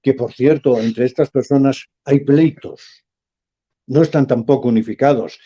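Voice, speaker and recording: A man, a professor speaking as a guest in a radio interview, measured and emphatic. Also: medium pitch, dark tone, slightly rough, muffled recording.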